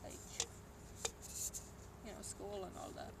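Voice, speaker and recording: A young adult from Sweden with a Swedish accent, chatting casually and rambling on a solo stream at home, with muttered and whispered asides. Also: slow. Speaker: livestreamer. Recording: noisy room ambience.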